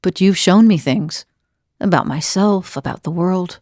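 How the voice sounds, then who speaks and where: A woman's voice: on the low side, measured pace, darkish tone, dry recording, studio quality, close to an everyday voice. Wry, world-weary adventurer woman, voiced by an actress, closely recorded. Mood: reflective. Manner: wry, conversational, reflective. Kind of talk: video game character dialogue.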